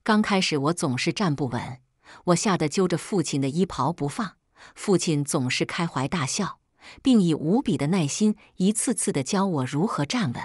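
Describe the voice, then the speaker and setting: A woman's voice: low voice, animated, moderate pace, breathy edge, no room sound, clean studio sound. A woman narrating a first-person story from a script as an audiobook reader.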